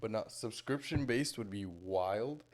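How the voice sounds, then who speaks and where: A man co-hosting a casual podcast, talking animatedly and thinking out loud, with an easy laugh. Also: on the low side, expressive, a little slow. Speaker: podcast co-host.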